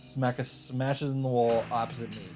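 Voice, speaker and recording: 남자 게임 실황 진행자의 낭독, 잔잔한 배경 음악. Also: male voice, mid-range voice, level tone, normal speed, a little mellow. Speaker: let's play host. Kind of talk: reading visual novel narration aloud, with reactions. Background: game music.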